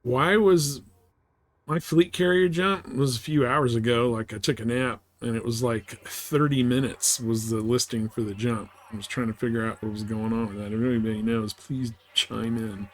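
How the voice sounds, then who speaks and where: A man's voice: mid-range voice, normal speed, slight background noise. A man giving casual live commentary on a game, thinking aloud and muttering asides to his viewers. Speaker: live streamer. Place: live game stream, indoors.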